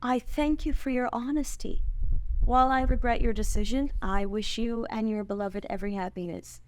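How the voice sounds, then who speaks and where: Woman acting a high-voiced, proud young princess. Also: fairly even intonation, normal speed, close to an everyday voice. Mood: formal, gracious. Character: proud, resolute young princess, regal and defiant. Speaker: actor in a table read. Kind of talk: dramatic screenplay table read.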